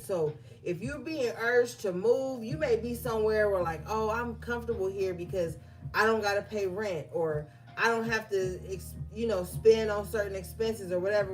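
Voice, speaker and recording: A woman's voice: medium pitch, normal speed, soft background music. A woman giving a collective tarot reading, reading card meanings aloud and then talking them through casually with her viewers. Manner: reads guidebook text aloud, then riffs conversationally with fillers. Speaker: tarot reader.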